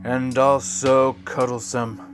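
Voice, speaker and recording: A man playing a gentle, earnest lizard man in an audio drama, given to solemn mock-chivalrous declarations. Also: mid-range voice, little pitch movement, slow, over music, close to an everyday voice. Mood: earnest, fond.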